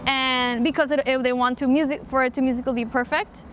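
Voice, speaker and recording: Brief: young woman, high voice, animated code-switching, recorded outdoors over clear traffic noise. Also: quick pace. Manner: switches between English and Spanish mid-sentence, animated. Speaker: mariachi singer and organizer. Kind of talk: casual interview conversation.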